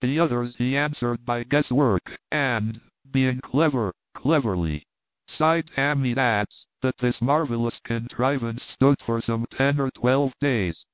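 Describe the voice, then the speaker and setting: A man reading a classic novel aloud as narrator, in a measured, even way. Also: medium pitch, no room sound. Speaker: audiobook narrator. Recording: tinny phone-like sound, dry.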